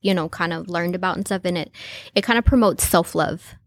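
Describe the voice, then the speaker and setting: A woman's voice: fairly low pitch, fairly quick, dry recording. A woman being interviewed as a guest artist, friendly and loose, laughing often. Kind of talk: casual interview chat.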